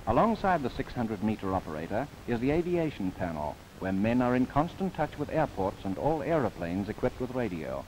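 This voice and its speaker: Male narrator for a 1930s government film, formal and measured, medium pitch, faint hiss. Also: sing-song, a little mellow, rough recording. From New Zealand. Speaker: documentary narrator. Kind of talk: scripted narration, 1930s newsreel. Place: studio voice-over.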